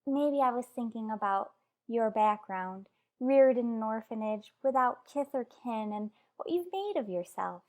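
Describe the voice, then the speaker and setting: A woman playing a gentle, affectionate wife in an old-time radio soap, speaking tentatively with halting phrases. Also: a little high, animated. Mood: tentative, earnest. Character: gentle, hesitant wife longing to adopt an older boy.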